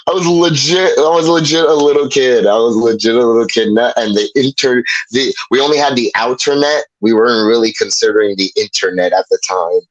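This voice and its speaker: A man from Puerto Rico chatting casually as an interview guest, telling stories in an animated way with quoted asides. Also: mid-range voice, brisk, hardly any pauses, no room sound, dull audio.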